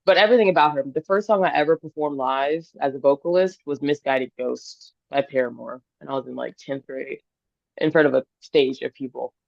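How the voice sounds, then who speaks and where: A woman's voice: rumbling low, steady tone, a little fast, creaky, darkish tone, no room sound. A woman in her twenties, a singer-songwriter guest on a podcast, talking earnestly and enthusiastically, thinking aloud. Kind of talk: casual podcast interview. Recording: dull lo-fi dry sound.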